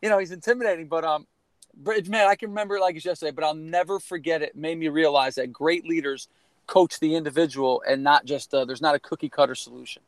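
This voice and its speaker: A man around forty, originally from Connecticut, tells his story earnestly and in a rambling way, circling back with "you know." His voice sits a little high for a man. The recording is close and dry. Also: steady tone, fast, no room sound. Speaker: guest, former college baseball player. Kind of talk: candid storytelling in a podcast conversation.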